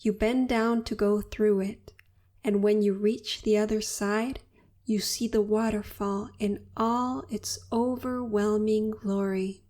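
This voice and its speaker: A woman narrating a guided meditation in a soothing, unhurried and deliberate manner. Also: medium pitch, flat delivery, darkish tone.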